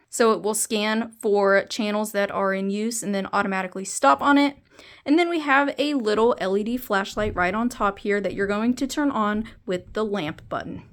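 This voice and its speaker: A woman's voice: a little high, slight background noise. A woman reviewing a product, explaining it step by step in a hands-on narration to camera.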